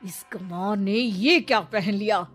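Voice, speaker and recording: A woman's voice: medium pitch, a little slow, fairly bright tone. Woman playing a bossy, snobbish mother-in-law over music. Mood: haughty, scolding. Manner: scolding, haughty, sprinkles English words. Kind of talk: animated moral story acting.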